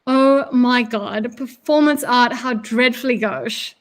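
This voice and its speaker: A woman's voice: on the high side, steady tone, brisk, pushed and bright. A woman reading fiction aloud as a scornful, bored art snob, pompous and sarcastic in a drawl. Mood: mocking. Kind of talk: dramatised literary reading.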